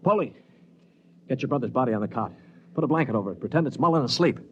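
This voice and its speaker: A man playing a brisk, urgent leader of captive space passengers in a 1950s radio drama. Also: mid-range voice, animated, natural voice. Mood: urgent, commanding. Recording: dull, noisy old radio recording.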